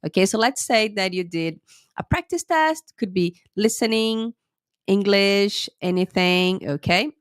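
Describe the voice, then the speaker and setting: A woman English teacher giving a live-streamed lesson, a little sick with a cold and a sore throat, talking slightly slowly and often checking in with "okay?". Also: mid-range voice, no room sound, clean studio sound. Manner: chatty, lots of "okay?" checks with viewers. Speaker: English teacher and IELTS tutor.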